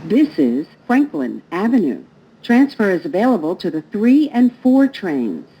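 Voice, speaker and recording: A woman's voice: mid-range voice, sing-song, measured pace. A woman reading scripted transit announcements in a formal, phrase-by-phrase manner, played over train speakers. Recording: muffled, noisy recording inside train. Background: train ambience noise.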